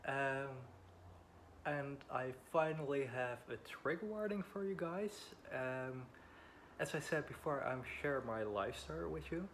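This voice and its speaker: A man's voice: medium pitch, lively intonation, measured pace, a bit bright. A man in his twenties from the Netherlands, speaking English with a Dutch accent, Dutch being his first language. He tells a personal story at home as a vlogger, a bit nervous, hesitant and apologetic with frequent ums. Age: 20s. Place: home beside a busy road. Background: faint wind and passing traffic.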